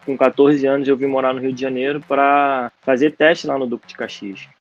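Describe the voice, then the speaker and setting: A man's voice: mid-range voice, little pitch movement, brisk, lo-fi sound, soft background music. A 25-year-old Brazilian man from Brasília, talking as a guest in a casual, slangy interview over a video call. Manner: easygoing, slangy. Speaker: guest footballer.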